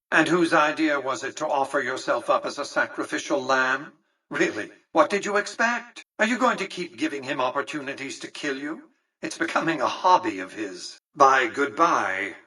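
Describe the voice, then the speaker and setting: Casting: older tycoon-ruler for a game, condescending and calculating, crisp forceful edge over a husky grain, slightly off-mic. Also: male voice, mid-range voice, recorded from a distance, full character voice, rough recording. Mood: dry, sardonic. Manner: pompous, erudite, precise, dryly sardonic. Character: imperious, calculating tycoon ruler of a casino city, condescending and dry. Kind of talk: video game character dialogue.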